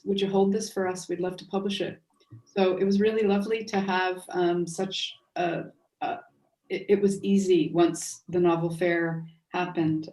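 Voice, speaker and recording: A middle-aged American woman speaking off the cuff as a panel guest, in a halting, thoughtful way. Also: mid-range voice, dark tone. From United States. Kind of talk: unscripted panel talk. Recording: echoey, distant from the mic.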